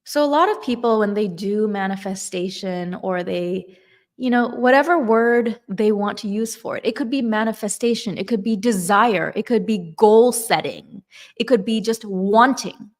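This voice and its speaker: A woman giving a slow, emphatic motivational talk on manifestation and gratitude. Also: medium pitch. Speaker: manifestation coach.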